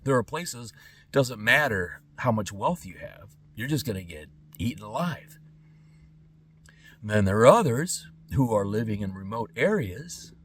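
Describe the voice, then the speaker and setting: A middle-aged man speaking an unscripted podcast monologue in emphatic, halting phrases with pointed pauses. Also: fairly low pitch, lively intonation, fairly bright tone, slightly rough, distant mic. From born in Trinidad and Tobago, lived in California. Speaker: podcast host.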